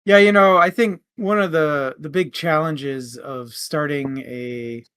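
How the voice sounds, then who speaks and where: A man's voice: on the high side, slow, a bit bright, clean tone. A man hosting a casual book discussion in a conversational, explanatory manner. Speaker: podcast host.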